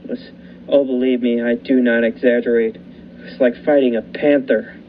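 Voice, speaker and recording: A young man voicing a lighthouse keeper who narrates a suspenseful radio drama in a measured, dramatic way with lingering pauses. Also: mid-range voice, flat delivery, close to an everyday voice. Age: young adult. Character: male lighthouse keeper recounting a nightmare. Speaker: student actor. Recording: muffled with faint hiss.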